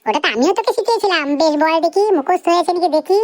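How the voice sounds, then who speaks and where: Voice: extremely high pitch, lively intonation, racing. A performer voicing a scolding, exasperated mother who nags her little boy in a Bengali comedy cartoon. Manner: bossy, scolding, nagging.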